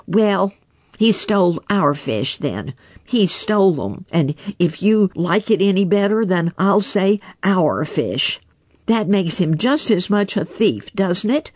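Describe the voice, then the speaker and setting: Reader doing sulky young otter, high and snappish, storybook. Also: very animated, slow, darkish tone, breathy edge, no room sound, heavily acted. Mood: angry snapping. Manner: snapping, indignant. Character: angry sulking young male otter.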